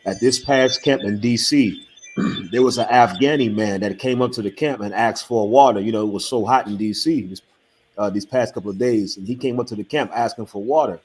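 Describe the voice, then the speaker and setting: A man on an online panel discussion, telling a story with acted-out dialogue and building to forceful emphasis. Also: fairly low pitch, fairly quick, husky, rough recording. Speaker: panel member.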